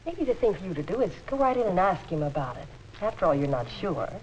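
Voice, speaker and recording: Gossipy secretary, lively pitch. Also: female voice, quick pace. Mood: cautious, gossipy. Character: deferential female secretary who passes on office gossip. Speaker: actor in workplace instructional film. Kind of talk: 1950s instructional film acting. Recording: muffled, hissy 1950s film soundtrack.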